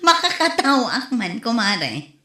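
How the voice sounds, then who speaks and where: A woman's voice: a little high, sing-song, a little slow, pushed and bright, heavily acted. A woman playing a chatty, confiding female friend in an Ilocano comedy audio drama, speaking conversationally. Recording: echoey room.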